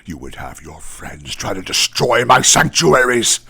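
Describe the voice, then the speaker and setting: Vampire lord, slow, gravelly, imperious, menacing, theatrical radio drama. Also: male voice, medium pitch, very animated, bright, forceful tone, exaggerated voice. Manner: imperious, drawn-out, theatrical threats. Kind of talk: radio play acting.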